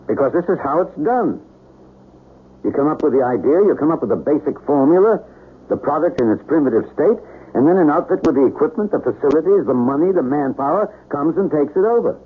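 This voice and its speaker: An actor playing a guilt-ridden, earnest scientist in a 1970s radio drama, with a brooding, hesitant, dramatic delivery. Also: male voice, a little high, expressive, a little fast, breathy edge. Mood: pained. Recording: tinny, band-limited 1970s radio broadcast with music.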